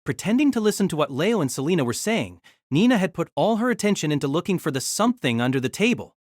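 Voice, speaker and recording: A man narrating a novel in an audio drama, steady storytelling with lively inflection. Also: fairly high pitch, fast talker, dry recording, clean studio sound.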